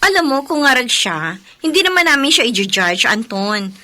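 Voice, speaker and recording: A meddling, patronizing friend in a radio drama. Her voice is high and sharp with sweeping pitch, breezy, with English words sprinkled in. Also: big pitch swings, normal speed. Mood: breezy, needling.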